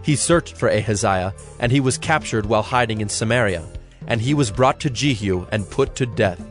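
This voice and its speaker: A man narrating scripture for a dramatized audio Bible in a measured, solemn reading style. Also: lowish voice, faint music behind.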